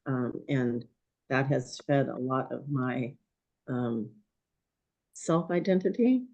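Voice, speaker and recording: A woman in her sixties, a guest in a conversation, speaking thoughtfully and hesitantly with many pauses while her voice is going hoarse. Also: very deep voice, mellow, dull audio. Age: 60s. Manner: thoughtful, hesitant with frequent ums. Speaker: podcast guest. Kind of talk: reflective conversation.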